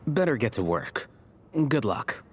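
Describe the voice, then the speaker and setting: A man playing a composed, learned scholar in a video game, speaking in a measured, matter-of-fact, lecturing way. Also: medium pitch, very animated, background noise. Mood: composed, aloof. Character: composed, learned male scholar, aloof and unhurried. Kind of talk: video game character dialogue.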